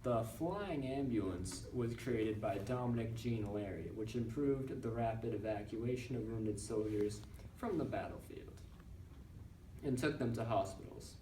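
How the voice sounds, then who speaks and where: A young man reading a scripted school newscast report in a halting, recited manner. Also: lowish voice, mellow. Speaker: student news presenter in a class project. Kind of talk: scripted narration read as a newscast. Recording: roomy, distant mic with background noise.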